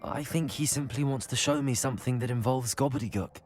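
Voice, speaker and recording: A man's voice: medium pitch, brisk, a little mellow, soft background music, close to an everyday voice. A young man playing a level-headed, curious teenage wizard in a video game. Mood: calm, curious.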